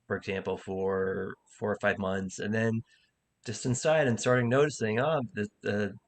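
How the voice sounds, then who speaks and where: Low-voiced man who stutters. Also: steady tone. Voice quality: stutters, with repetitions and restarts. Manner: candid, halting, thoughtful. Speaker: comic and improv teacher. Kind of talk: casual conversation.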